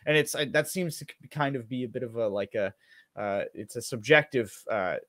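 A man co-hosts a casual political stream from an indoor setup. His voice sits at a middle pitch with very little room sound. He moves haltingly between reading aloud and sardonic commentary. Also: fairly bright tone, dry recording, clean studio sound. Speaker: show co-host reading and commenting on an article. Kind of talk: casual political livestream chat with article read aloud. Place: indoor room at home, livestream video call.

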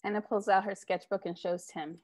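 A woman in her thirties reads screenplay directions aloud. She is mid-pitched and speaks into an earbud mic on a home video call. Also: fairly even intonation, fairly quick, darkish tone. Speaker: stage directions reader at a live table read. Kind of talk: scripted narration read aloud. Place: living room at home. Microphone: earbud headset on a video call.

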